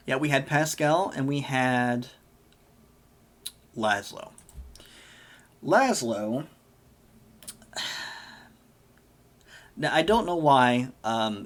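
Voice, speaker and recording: Male gaming host, casual rambling commentary, hoarse voice, lots of ums and restarts, mid pitch. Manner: rambling, many fillers and self-corrections. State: losing his voice. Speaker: gaming YouTuber.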